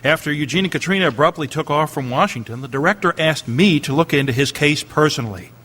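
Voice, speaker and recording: An actor playing an articulate doctor and investigator in a family radio drama, explaining things earnestly and insistently. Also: male voice, on the high side, fast talker, pushed and bright. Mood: assertive.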